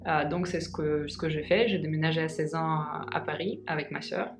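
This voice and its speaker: A woman in her twenties whose first language is Russian, talking in a casual vlog and pausing often with fillers and restarts. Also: sits low, fairly even intonation, over music. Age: 20s. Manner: hesitant, many pauses and fillers. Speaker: vlogger. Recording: echoey room.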